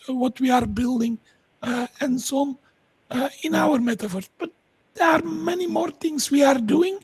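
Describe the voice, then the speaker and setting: A woman's voice: sits high, darkish tone, no echo, poor audio. A woman from Belgium with a Flemish accent, enthusiastically explaining projects as an interview guest. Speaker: podcast guest, tech entrepreneur. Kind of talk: conversational pitch of own projects.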